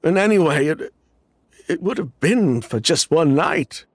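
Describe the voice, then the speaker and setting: A man's voice: on the high side, lively intonation, a little slow, dry recording. An actor playing an evasive, guilty male perfume businessman in a video game, stammering and defensive as he explains himself.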